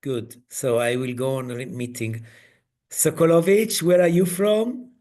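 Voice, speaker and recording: Slow-speaking man coaching on a video call. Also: mid-range voice, fairly even intonation, dark tone. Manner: slow, halting, non-native phrasing. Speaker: coach leading a webinar. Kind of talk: online webinar demonstration.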